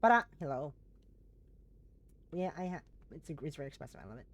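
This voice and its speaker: Hoarse, stuffy-nosed woman streamer. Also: sits low, big pitch swings, fast, slight background noise. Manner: chatty and warm to chat, frequent restarts. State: hoarse, voice going; stuffy blocked nose. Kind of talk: casual live-stream chat with viewers.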